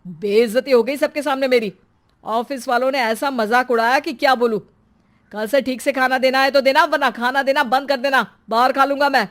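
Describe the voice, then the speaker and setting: A man's voice: extremely high pitch, steady tone, normal speed, fairly bright tone. A man acts out a domineering middle-aged father and husband in a cartoon story. He is harsh and belittling. He talks in a scolding way.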